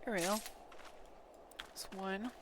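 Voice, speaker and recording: A woman livestreaming a game playthrough, reading dialogue aloud in a theatrical, deliberate way with muttered asides. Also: mid-range voice, a bit bright, a little hiss or hum. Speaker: game streamer.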